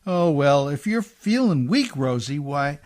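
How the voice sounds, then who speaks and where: A man's voice: fairly high pitch, big pitch swings, unhurried, a little mellow. An actor in a radio play playing a humble, plucky riverboat man with folksy, rustic diction, deferential and plain-spoken. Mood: tender, hearty.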